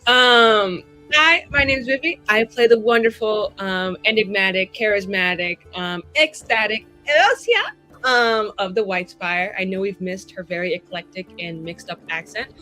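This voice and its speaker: Bright-voiced, playful woman bantering. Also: mid-range voice, animated, measured pace, faint music behind. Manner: playful, dramatic, sweary. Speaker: player in a tabletop roleplaying show. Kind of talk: casual livestream banter.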